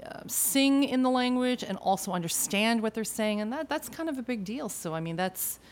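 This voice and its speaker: A woman's voice: fairly high pitch, expressive, moderate pace. A woman from Argentina who sings, speaking thoughtfully in a casual conversation. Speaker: singer.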